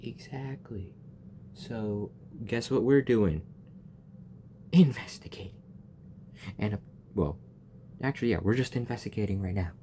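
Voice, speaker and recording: A man's voice: lowish voice, unhurried, pauses often, dark tone, a little hiss or hum. A man giving casual, jokey commentary while playing through a game story, talking back to the characters. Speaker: gamer reacting to a story quest.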